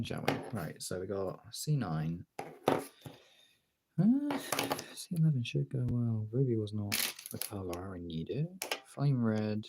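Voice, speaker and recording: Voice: medium pitch, very noisy. A British streamer chatting casually and informally to viewers, thinking aloud and swearing now and then. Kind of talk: casual livestream chat.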